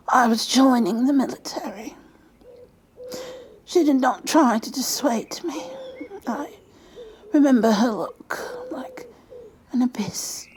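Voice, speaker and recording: Woman acting a frail old lady, breathy whisper, slow and halting, wistful, ASMR storytelling, fairly high pitch. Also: a little mellow, exaggerated voice. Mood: somber, remembering. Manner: halting, repeating words, trailing pauses. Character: frail elderly woman reminiscing about wartime, wistful and halting.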